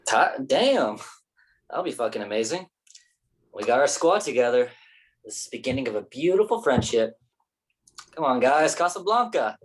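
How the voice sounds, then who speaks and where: A man in a staged reading, playing a brash, joking, foul-mouthed young friend with a husky voice. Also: medium pitch, big pitch swings, normal speed, pauses often, rough recording. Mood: excited, hammy. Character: brash, jokey, profane young male friend.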